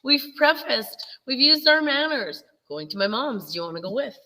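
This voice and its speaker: A woman with a Minnesota accent co-hosting a casual chat, chatty and quick to laugh. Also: high-pitched, big pitch swings, pushed and bright, big-room sound. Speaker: co-host.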